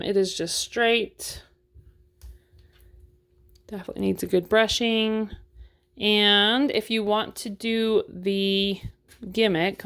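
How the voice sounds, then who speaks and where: A woman's voice: mid-range voice, creaky, a bit bright. A woman chatting casually through a doll unboxing review, frank and full of fillers, while losing her voice.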